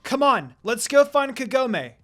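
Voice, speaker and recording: A man voicing a spirited young warrior woman, calling out loudly and eagerly. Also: very high-pitched, measured pace, soft background music, voice pushed up, exaggerated voice. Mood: urgent rallying. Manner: shouting excitedly.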